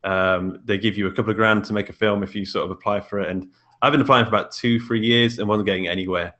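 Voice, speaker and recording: A man's voice: fairly low pitch, fairly even intonation, fast, sharp tone, lo-fi sound. A British man chatting casually as a podcast guest, informal and self-deprecating. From England. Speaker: podcast guest, indie author and filmmaker. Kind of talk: casual chat.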